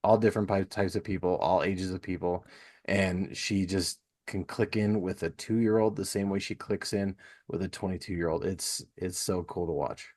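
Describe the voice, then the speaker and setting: A man's voice: low-pitched, fairly even intonation, moderate pace, darkish tone. A middle-aged man hosting a podcast in a casual, rambling, self-deprecating and slangy way. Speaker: podcast host. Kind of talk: casual chat.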